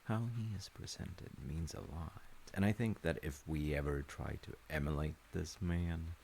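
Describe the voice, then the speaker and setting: Male, low creaky voice, gentle thoughtful storyteller dad, measured and reflective, faint ambient noise behind. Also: low-quality recording. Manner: reflective, measured, explaining with pauses. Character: gentle, thoughtful dad telling his child a folktale. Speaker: storyteller, audio roleplay voice actor playing a dad. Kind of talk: intimate improvised-sounding bedtime storytelling and chat.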